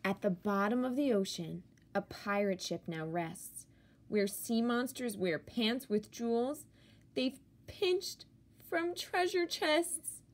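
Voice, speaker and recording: A woman with a fairly high voice reads a children's picture book aloud at a very slow pace. She is expressive and deliberate, leaning theatrically on key words as a teacher does for young listeners.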